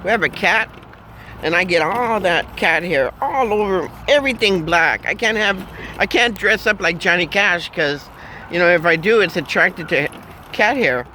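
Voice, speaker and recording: A man's voice: high voice, expressive, moderate pace, bright, forceful tone, slight background noise, low-quality recording. A man in his fifties chatting in a car in a rambling, joking way, chuckling and humming silly tunes. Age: 50s. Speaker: vlogger. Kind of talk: rambling casual chat.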